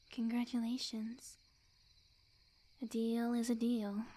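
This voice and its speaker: A woman playing a sweet, clingy, lovesick young witch in an ASMR roleplay, speaking softly and breathily in an intimate, coy, earnest way. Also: on the high side. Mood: resigned. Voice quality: soft, breathy, often near-whisper.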